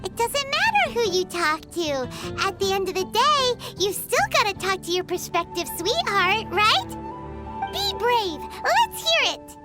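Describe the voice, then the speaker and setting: A woman voicing a small, perky, cheeky childlike fairy companion in a video game, squeaky and chirpy. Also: big pitch swings, a little slow, over loud music, heavily acted. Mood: perky, coaxing. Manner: chirpy, refers to self in third person. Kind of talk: video game character acting.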